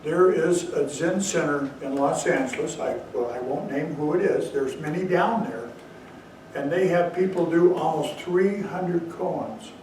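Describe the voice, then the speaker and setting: A man's voice: fairly high pitch, a little slow, a little mellow, slight background noise. Man telling a Zen story in an echoey hall. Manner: storytelling, bursts of emphasis. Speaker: Zen teacher. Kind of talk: dharma talk. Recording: very echoey hall, mic far off.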